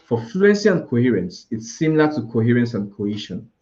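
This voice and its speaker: A man's voice: mid-range voice, very animated, brisk, darkish tone, lo-fi sound. A man with a Nigerian accent, teaching an IELTS preparation class live, explaining scoring and listing word alternatives with frequent restarts. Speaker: IELTS tutor.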